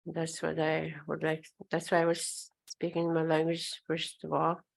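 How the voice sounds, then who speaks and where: An older woman elder whose first language is Oji-Cree, speaking slowly and hesitantly at a gathering, often pausing and clearing her throat. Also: deep voice, completely flat delivery. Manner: slow, hesitant, many pauses and throat clearing. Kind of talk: informal talk at a gathering. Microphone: handheld microphone.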